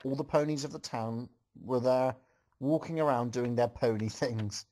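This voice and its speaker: A man's voice: medium pitch, normal speed, warm tone. A man reading a story aloud to a group as host, with amused, joking asides.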